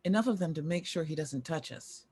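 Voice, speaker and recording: A woman's voice: low voice, animated, a little fast, mellow, breathy edge. A woman acting a proud, wry aging former child star in a screenplay table read, with a dry theatrical manner. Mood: urgent, decisive.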